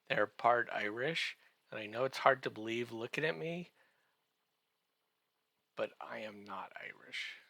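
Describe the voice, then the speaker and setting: A man giving a comedic podcast recap in a halting, drawn-out way, full of wry joking asides. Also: mid-range voice, pushed and bright, dry recording.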